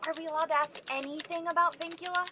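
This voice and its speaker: A woman's voice: very high-pitched, steady tone, fast, noisy background. A woman playing an impatient, bossy young woman on a covert mission in an audio drama. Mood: urgent.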